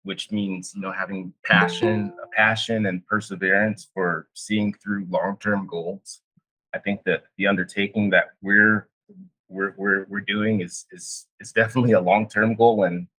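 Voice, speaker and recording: Very deep, husky-voiced man. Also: pushed and bright, rough recording. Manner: halting, repeats words while thinking. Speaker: panelist, clean room engineer. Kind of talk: casual panel talk.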